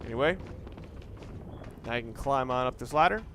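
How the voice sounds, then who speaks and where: A man's voice: medium pitch, animated, moderate pace, fairly bright tone, slight background noise. A man giving casual, rambling video game commentary, talking through his reasoning aloud as he plays. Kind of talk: casual let's play commentary.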